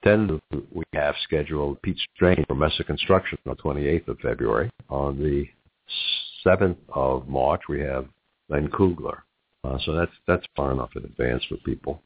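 Male host, middle-aged, New York accent, very low husky voice, business interview, thin phone-like sound. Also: moderate pace. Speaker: radio talk show host. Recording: muffled narrow phone-like audio.

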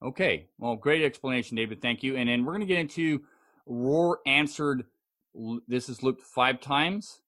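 A man's voice: medium pitch, moderate pace, fairly bright tone. A man hosting a podcast in a casual, conversational way, a bit hesitant and often repeating himself as he introduces audio clips. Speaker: podcast host.

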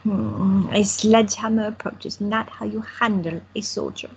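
A woman's voice: medium pitch, moderate pace, vocal fry, breathy edge, highs cut off. An actress playing an elderly grandmother in an audio drama, with dry, affectionate teasing. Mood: chiding. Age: older. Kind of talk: amateur audio drama acting.

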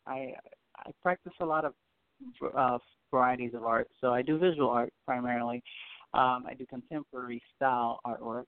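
A man's voice: mid-range voice, smooth, no echo. Man, from Haiti, interview guest, hesitant, lots of fillers, dry tinny phone sound. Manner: hesitant, many fillers and restarts, laughs easily. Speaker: guest artist. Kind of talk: casual interview.

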